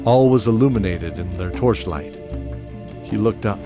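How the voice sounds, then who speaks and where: A man narrating a fantasy audio drama as its host, in a steady storytelling voice. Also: on the low side, normal speed. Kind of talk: scripted narration. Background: music bed.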